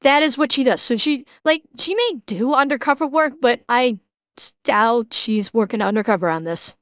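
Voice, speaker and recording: A high-pitched woman with a broad Midwestern accent, chatting loosely to her stream audience as she reacts to a clip. She rambles, restarts her sentences and corrects herself mid-thought. Her voice sounds dry and close, with no room around it. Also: normal speed, dry recording. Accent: broad Midwestern American. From Chicago, USA. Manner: rambling, self-correcting, talks to chat. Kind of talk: casual reaction stream chat.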